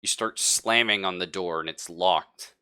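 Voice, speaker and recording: A man's voice: low voice, unhurried, sharp tone, smooth. A man acting as game master, narrating a live tabletop roleplaying scene in suspenseful second person.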